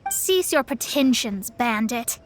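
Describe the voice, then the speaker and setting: A woman's voice: a little high, expressive, slow, slight background noise. A woman voicing a proud, haughty young female astrologer in a video game, speaking in a pompous, formal, theatrical manner. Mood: stern, challenging.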